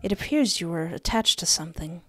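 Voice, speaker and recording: A young woman hosting a game playthrough on a close microphone, reading text aloud and adding animated, jokey asides. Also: fairly low pitch, a little fast. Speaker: gaming video host. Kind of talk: playful let's play commentary mixed with reading game text aloud. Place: indoor home recording setup. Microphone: close desktop microphone with headset. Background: game music.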